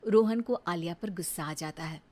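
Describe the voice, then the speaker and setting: A woman giving scripted storytelling narration for an animated moral story. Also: on the low side, normal speed. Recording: music under the voice.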